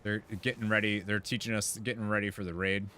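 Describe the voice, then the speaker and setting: A man's voice: lowish voice, level tone, fast talker, pushed and bright, a little hiss or hum. A man giving casual, rambling and enthusiastic gameplay commentary as he chats with his viewers. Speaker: video game streamer.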